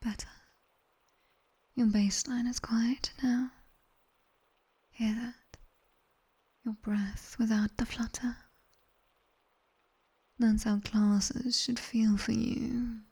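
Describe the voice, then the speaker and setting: A British demoness tutor, acted by a woman, whispers very slowly in a breathy, husky hush. Her tone is soft and dark, with a faint crackle of fry. She is sharp-tongued and quietly commanding, giving clipped ASMR sleep instructions close to the mic. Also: a little high, level tone, full character voice. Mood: calm, instructing. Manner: slow, clipped instructions with dry, teasing praise. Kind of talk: ASMR roleplay, guided sleep session. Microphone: close binaural microphone.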